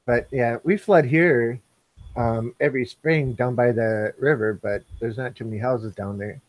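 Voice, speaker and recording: Mid-pitched man reading out chat. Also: measured pace. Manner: reads chat aloud, protests jokingly. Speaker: live stream host. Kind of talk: casual live stream chat.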